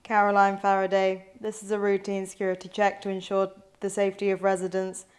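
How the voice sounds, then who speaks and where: A woman doing a brisk, officious security robot. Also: mid-range voice, natural voice. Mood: officious, unyielding. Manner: flat, procedural, literal. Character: rigid officious security robot checking identity. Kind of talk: comedy script table read.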